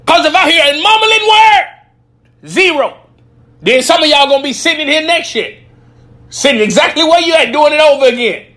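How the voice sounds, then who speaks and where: A man's voice: very high voice, expressive, fairly quick, bright, forceful tone, heavily acted. A man voicing a strict, threatening goose that barks orders like a teacher or drill sergeant in a comic animal voiceover. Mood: stern threatening. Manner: barking, commanding, stern.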